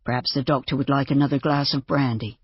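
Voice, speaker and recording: A narrator voicing a cool, self-assured, mocking gentleman landowner in audiobook dialogue, casual and unruffled. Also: medium pitch, fairly even intonation, quick pace, husky, no room sound, lo-fi sound, natural voice.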